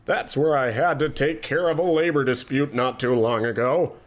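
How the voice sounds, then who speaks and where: A man's voice: medium pitch, fairly even intonation, normal speed, close to an everyday voice. An actor playing a pompous, ruthless rich tycoon in a radio-style comedy, talking down to his staff with sinister muttered asides. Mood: menacing. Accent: New England. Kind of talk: old-time radio comedy acting.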